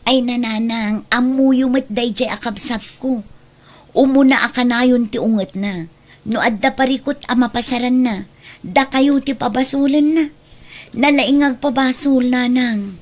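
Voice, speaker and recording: An earnest big sister played by a woman with an Ilocano accent and a fairly high voice. She is caring yet admonishing, in the manner of an audio drama. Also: measured pace, lightly acted. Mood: concerned. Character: caring, reproving elder sister who defends her mother.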